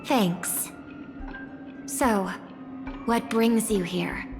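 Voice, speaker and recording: Game role: gentle, discerning young female singer, slow, measured and courteous, music underneath. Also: medium pitch. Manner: measured, formal, polite. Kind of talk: video game voice acting. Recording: music behind the voice.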